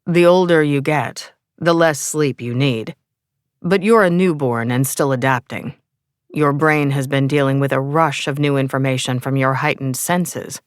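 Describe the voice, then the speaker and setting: A woman voicing a weary old male vampire who speaks tersely and grumbles, in a low voice. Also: a little slow, dry recording, studio quality. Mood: gruff.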